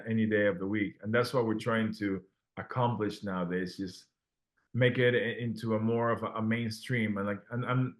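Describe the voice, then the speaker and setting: A man from Peru, a guest telling his story in a casual interview, earnest and full of restarts and fillers. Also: lowish voice.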